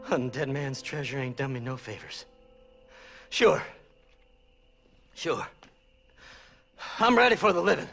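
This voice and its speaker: An actor playing a crazed, greedy American treasure hunter in a western game, manic and rambling with a creaky, sharp voice. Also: male voice, mid-range voice, big pitch swings, unhurried, long pauses, soft background music, heavily acted. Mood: resigned. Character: crazed, greedy, ragged male treasure hunter and grave robber. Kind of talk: animated western game acting.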